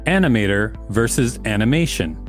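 A man's voice: lowish voice, expressive, normal speed, soft background music. Easygoing man co-hosting a podcast. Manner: eager, easygoing. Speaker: podcast co-host. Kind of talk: casual reading and chat.

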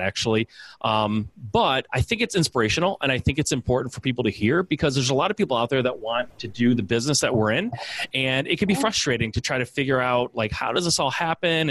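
A man's voice: medium pitch, a bit bright, no room sound. A man interviewing a podcast guest in an upbeat, chatty way. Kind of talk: casual interview chat.

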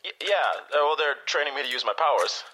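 A bright, tense young speedster intern in an audio drama. He is awkward and hesitant, tripping over his words as he talks. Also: fairly high pitch, animated, quick pace, slight background noise, low-quality recording. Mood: flustered. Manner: stammering, hesitant. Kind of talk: naturalistic audio drama phone dialogue.

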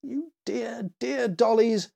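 A man's voice: high-pitched, measured pace, a little mellow, slightly rough, voice pushed up, exaggerated voice. A man voicing a sweet, timid little girl in a children's storybook, earnest and tender.

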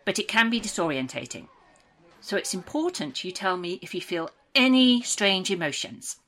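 An actress playing a probing official in a dystopian audio drama, reassuring, procedural and a little patronising. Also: female voice, medium pitch, very animated, normal speed, a bit bright. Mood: brisk, reassuring. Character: soothing, probing woman official of a dystopian programme. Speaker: interviewer. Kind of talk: audio drama dialogue, clinical interview.

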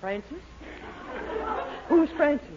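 A man's voice: very high voice, lively intonation, takes it slowly, a loud crowd, exaggerated voice. A performer, a man, playing a bossy, short-tempered older mother-in-law in a 1940s radio comedy, scolding and blustery. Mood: indignant. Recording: muffled vintage radio recording, light hiss.

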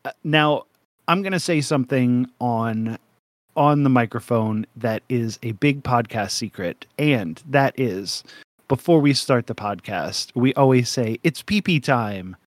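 A young man co-hosting a casual podcast, rambling through jokes and breaking into laughs. His voice sits at a middling pitch and is recorded very dry, close to the mic with no room sound. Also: animated, a little slow, no echo. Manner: jokey, rambling, laughs often. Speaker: podcast co-host.

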